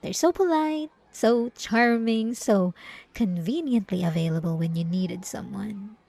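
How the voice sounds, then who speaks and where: A woman playing a possessive, obsessive ex-girlfriend in an ASMR roleplay, with drawn-out, cooing condescension and sweet menace. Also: mid-range voice, animated, dark tone, dry recording. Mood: mocking. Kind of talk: ASMR roleplay monologue to the listener.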